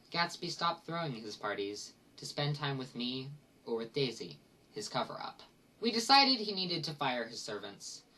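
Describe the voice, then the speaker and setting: A man acts out a lovelorn gentleman storyteller, confiding and sentimental. The voice is mid-pitched and dramatic, heard a little way from the microphone. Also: distant mic, poor audio. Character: lovelorn, wistful male narrator confessing a secret romance. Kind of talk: dramatic monologue from a literary parody.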